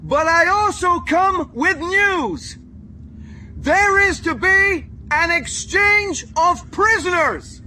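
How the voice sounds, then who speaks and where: A man's voice: extremely high pitch, expressive, takes it slowly, bright, forceful tone, heavily acted, poor audio. A man playing an earnest American envoy in a radio drama, giving a formal public address. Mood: solemn announcing.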